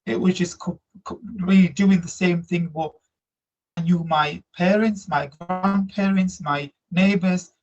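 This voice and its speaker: A man in his thirties from Slovakia with a Slovak accent, answering interview questions earnestly in halting English. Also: on the high side, breathy edge. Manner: earnest, reflective, halting non-native grammar. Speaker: guest, migrant workers support officer. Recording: dull lo-fi audio.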